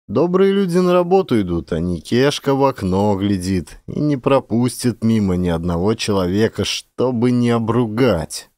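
Male actor for classic fiction audiobook, mid-pitched, expressive storyteller, dry close sound. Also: no room sound. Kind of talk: dramatic scripted literary narration.

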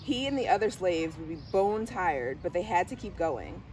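A woman's voice: on the low side, sing-song, moderate pace, darkish tone. A middle-aged woman reading a picture book aloud in a storytelling style. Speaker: school diversity director reading aloud. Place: outdoors on a garden bench under trees. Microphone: camera a few steps away. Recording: distant camera mic, outdoor background noise.

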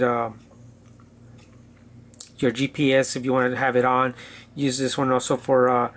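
A man giving a casual, unscripted gadget demo indoors, thinking aloud a bit hesitantly as he goes. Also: medium pitch. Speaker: gadget reviewer.

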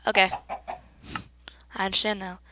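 A woman's voice: mid-range voice, level tone, moderate pace, bright, forceful tone, slight background noise. A woman with a slightly congested voice giving chatty, playful commentary as she hosts a gaming video. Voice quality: slightly congested, stuffy nose. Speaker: gaming channel host. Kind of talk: casual let's play commentary.

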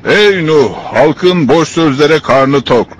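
A man's voice: on the high side, takes it slowly. A man playing a haughty, scoffing character in a cartoon, dismissive and taunting. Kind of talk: cartoon voice acting.

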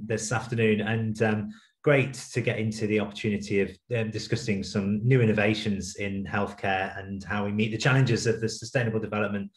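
A man in his forties giving a measured, polite introduction as a panelist on a video call. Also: on the low side, reverberant. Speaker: panelist, health executive. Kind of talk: formal self-introduction. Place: bright room indoors. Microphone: laptop video call.

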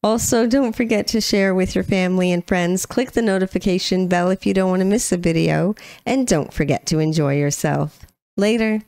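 A woman's voice: lowish voice, normal speed, vocal fry, a little mellow, no echo. A woman, chatting casually as co-host of a music reaction channel. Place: small room at home, indoors. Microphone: close boom-arm microphone.

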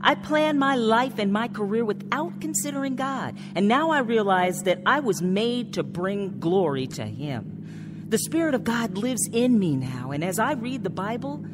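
A woman's voice: fairly high pitch, sing-song, a little slow. An American actress playing an earnest, troubled woman who recounts her life confessionally in a radio drama. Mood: earnest conviction. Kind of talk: radio drama narration and dialogue. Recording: radio drama with music underneath.

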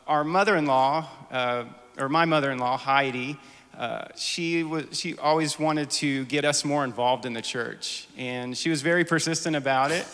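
Joking, halting man telling a story. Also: fairly high pitch, fairly bright tone, clear, resonant tone. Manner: halting, joking, many fillers. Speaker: church band guitarist. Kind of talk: personal story to a congregation. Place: church stage before an audience. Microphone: handheld mic. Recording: long hall reverb.

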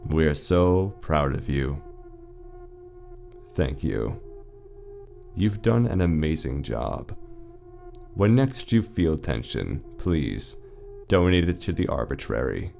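A man playing an eerily calm, reassuring announcer in a surreal audio drama, measured, soothing and deadpan. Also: very deep voice, vocal fry, soft background music, exaggerated voice.

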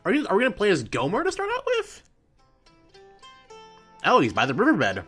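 Casual let's play host, chatty and lively in pitch, medium register, music behind. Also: a little fast, breathy edge. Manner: chatty, thinking aloud. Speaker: gaming video host.

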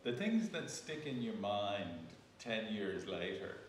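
Guy on a panel musing away, slow and gravelly, echoey room. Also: lowish voice, very animated. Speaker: panel speaker. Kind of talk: unscripted panel discussion. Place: indoor gallery event space. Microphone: distant camera. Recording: echoey room from far off, some noise.

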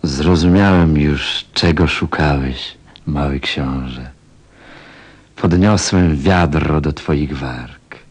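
A man's voice: rumbling low, slow pace, a little mellow, breathy edge, full character voice. An actor playing a tender, thoughtful pilot in a radio play, a grown man speaking with reflective feeling. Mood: moved, reverent. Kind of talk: radio play acting and first-person narration.

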